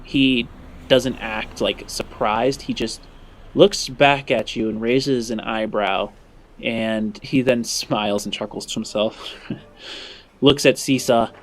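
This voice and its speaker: Slow-paced man narrating a tabletop game. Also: medium pitch, fairly even intonation. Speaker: game master.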